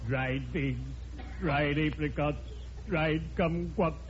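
An actor playing a morbid, mock-dignified undertaker in a classic radio comedy, speaking in a formal, droll, deliberate way. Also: male voice, fairly high pitch, steady tone. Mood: droll, solemn. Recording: dull old radio recording, audience, noise.

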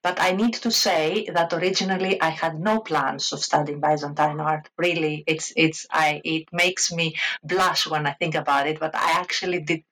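A woman in her fifties with a Greek accent, a guest art historian telling her story in an interview, animated, with many restarts. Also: low-pitched, normal speed, few pauses, low-quality recording. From Greece, Crete. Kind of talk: interview conversation.

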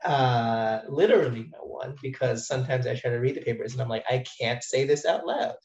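A man's voice: mid-range voice, fairly quick, a little mellow. Man musing in a roomy, dull recording. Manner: thoughtful, rambling, many fillers and restarts. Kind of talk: casual discussion of language teaching. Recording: roomy, dull-sounding audio.

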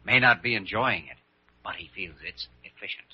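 An actor playing an earnest, confident hospital doctor with dry wit, explaining things in a measured way in an old radio drama. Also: male voice, fairly low pitch, slightly rough. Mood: amused, firm. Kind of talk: 1940s-50s radio drama acting. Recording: dull vintage radio recording.

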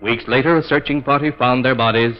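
Actor as an ominous radio storyteller, music behind him. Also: male voice, mid-range voice, fairly even intonation, fast, lightly acted. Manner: solemn, dramatic. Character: ominous storyteller of a sealed book of terror. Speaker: radio horror series narrator. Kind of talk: 1940s radio narration. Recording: muffled 1940s radio recording with music.

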